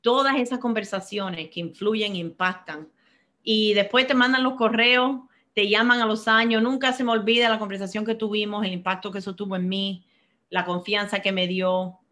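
A woman's voice: medium pitch, fairly even intonation, normal speed, pushed and bright. A middle-aged woman, answering interview questions in an earnest, reflective way and often repeating words as she searches for the next one. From born in Cuba, raised in Miami. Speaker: interview guest, finance professional.

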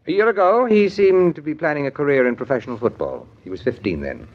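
A man's voice: fairly high pitch, expressive, fast. An actor playing a dry, courteous middle-aged businessman and father in a 1940s radio soap opera, speaking formally and articulately with wry regret. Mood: courteous, rueful. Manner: formal, articulate, wryly rueful. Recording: dull 1940s radio broadcast recording.

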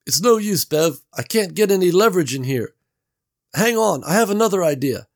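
A man's voice: high-pitched, animated, moderate pace, voice pushed up. A man voicing Gary, a nervous, eager young man trapped in an air vent, who talks earnestly and runs himself down. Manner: earnest, anxious, self-deprecating.

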